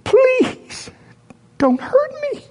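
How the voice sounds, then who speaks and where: A man voicing a whiny, frightened little boy who pleads in a thin, drawn-out way. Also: very high voice, sing-song, a little mellow, voice pushed up, heavily acted. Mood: tearful pleading.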